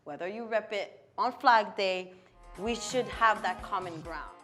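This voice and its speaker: A woman's voice: mid-range voice, a little slow, fairly bright tone, soft background music. A teenage girl co-hosting a teen talk show, speaking with confidence in a casual chat. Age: teens. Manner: confident, switches into Haitian Creole. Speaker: teen co-host.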